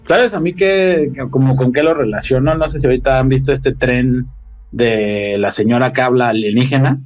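A Mexican man chatting casually as a podcast guest, telling things in an animated, slangy way with swearing. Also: medium pitch, moderate pace.

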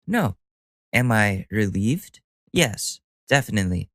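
A man's voice: lowish voice, big pitch swings, slow pace, fairly bright tone, no echo, studio quality. A young man hosting a solo podcast, talking casually with a stuffy nose, rambling and joking at his own expense with the odd swear word. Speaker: podcast host.

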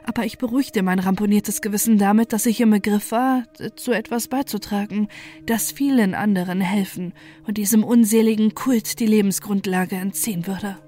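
A woman with a dark, soft-edged voice narrates a horror tale in the first person. Her delivery is measured and grave, at a medium pitch, with faint music underneath. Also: steady tone.

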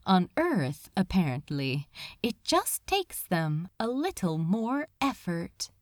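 A woman reading a story aloud as a dramatic narrator, with measured, deliberate phrasing and weighted pauses. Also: fairly high pitch, no echo, clean studio sound. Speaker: fan fiction reader, narrator. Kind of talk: dramatic scripted audiobook narration.

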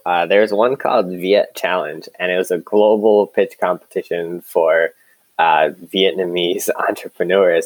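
A man's voice: low voice, no room sound, rough recording. A man speaking casually and informally as a startup co-founder being interviewed, telling stories in a conversational way.

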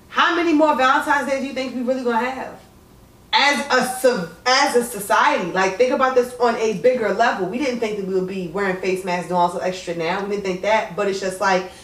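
Young woman, casual talker, expressive, echoey room, distant mic. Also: mid-range voice. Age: 20s. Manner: chatty, loose and expressive. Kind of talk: casual group chat.

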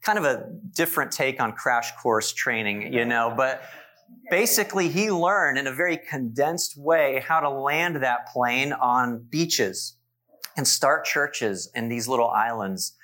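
A man's voice: medium pitch, lively intonation, measured pace. A man in his forties preaching in church, telling stories with asides to the congregation. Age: 40s. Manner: warm storytelling with asides to the congregation. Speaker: pastor. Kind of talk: sermon.

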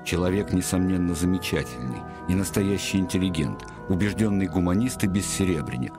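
A man's voice: low-pitched, lively intonation, brisk. A man reading scripted radio drama narration in a formal, declarative way. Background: music.

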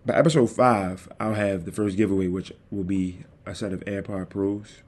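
Male podcast host, calm and easygoing, mellow low voice, desktop mic. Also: moderate pace. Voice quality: calm, soothing voice. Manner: easygoing, addresses listeners directly. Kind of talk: casual solo podcast talk. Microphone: desktop USB microphone.

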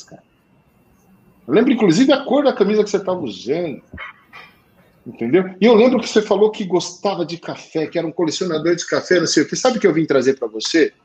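Man, Portuguese accent, blunt slangy delivery, animated swings, slightly high pitch. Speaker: sales coach for accountants. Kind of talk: live talk show advice.

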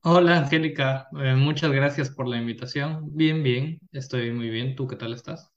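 A young man answers interview questions over a remote link. He speaks in a relaxed way, with frequent pauses and fillers. The line sounds dull and muffled. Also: medium pitch. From Ecuador, Quito. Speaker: interview guest. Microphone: remote call.

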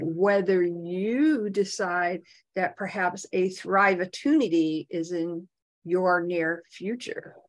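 A woman, co-hosting a podcast in a chatty way with lots of fillers. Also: fairly low pitch. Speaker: co-host of a wellness podcast. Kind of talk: casual chat.

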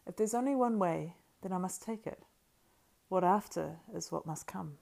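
A woman reading the lines of a weary but resolute young male hobbit, firm and quiet. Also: fairly low pitch, normal speed, gravelly.

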